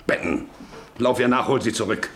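A man's voice: mid-range voice, moderate pace, a bit bright, slight background noise, natural voice. An actor playing a middle-aged, domineering, money-hungry farmer and father in a German radio drama, commanding and cutting others short. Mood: stern, angry.

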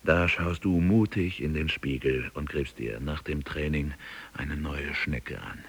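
A man's voice: extremely low pitch, unhurried, fairly bright tone, husky, exaggerated voice. An actor playing a world-weary, sardonic businessman musing ironically to himself in a radio drama. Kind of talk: radio drama interior monologue.

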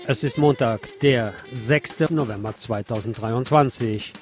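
A man hosting a radio show, reading a scripted literary essay in a deliberate way. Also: mid-range voice. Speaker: radio host. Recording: music behind the voice. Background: music.

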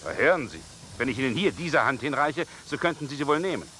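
An actor playing a self-assured middle-aged gentleman in a German radio drama, musing and ironic in a deliberate way. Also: medium pitch, very animated, background noise, lightly acted. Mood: insistent.

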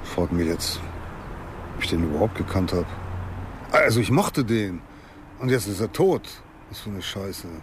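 A plain-spoken jobless workmate in a radio crime drama. His voice is on the low side, and he muses aloud in colloquial phrases. Faint vehicle-like ambience sits behind him. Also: animated, normal speed, long pauses, background noise. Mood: rueful.

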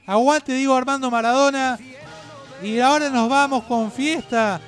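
An Argentine man co-hosting a radio show in rambling, opinionated chat, halting, digressing and repeating himself. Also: very high-pitched, steady tone, a bit bright, soft background music.

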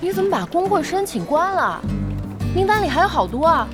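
A chummy, impatient young female gamer. Her voice is high and very animated, full of perky curiosity. This is an audio drama with music behind her. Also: fairly quick. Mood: questioning, impatient.

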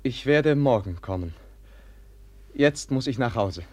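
A meek, husky-voiced young prince. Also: male voice, mid-range voice, expressive, measured pace. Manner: hesitant, soft-spoken, earnest. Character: gentle, naive young prince, meek and sincere. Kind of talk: 1950s radio drama acting.

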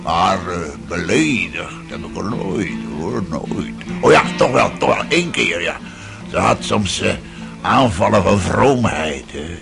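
An actor playing a lecherous, drunken old father in a radio drama, rambling, boastful and self-pitying in a gravelly voice. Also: deep voice, lively intonation, unhurried, exaggerated voice. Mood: rambling, maudlin. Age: older. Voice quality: gravelly, creaky. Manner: rambling, leering, exclaiming. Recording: radio play with music underneath.

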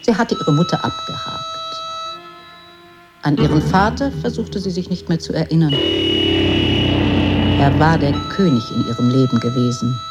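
A woman's voice: low voice, huge pitch swings, unhurried, long pauses, full character voice. A woman narrating a literary radio drama as a grave storyteller. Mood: grave, brooding. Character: grave female storyteller of a troubled girl's life. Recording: music under the voice.

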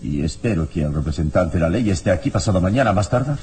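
A heroic adventurer in a radio drama, with a very low voice. He is unflappable, formal and firm, with animated, theatrical intonation. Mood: assured. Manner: measured, polite, formal, firm. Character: calm, unflappable heroic adventurer, adult man.

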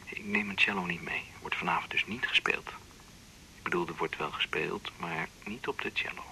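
An actor playing a teasing, lively man in a 1980s radio drama. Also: low-pitched, normal speed, creaky, in a whisper, heavily acted, rough recording. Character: man, playful lover and doctor.

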